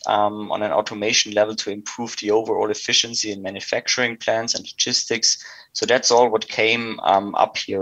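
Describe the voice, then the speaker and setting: A man's voice: fairly low pitch, pushed and bright, no echo, rough recording. A man from Austria with an Austrian accent, a native German speaker, explaining things methodically as an interview guest. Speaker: interview guest, sales director.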